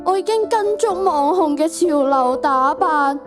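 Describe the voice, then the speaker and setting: A woman's voice: sits high, lively intonation, unhurried, over music. A teenage girl with a Hong Kong Cantonese accent playing an insecure, lovesick, eager-to-please young woman in a student radio drama. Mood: plaintive.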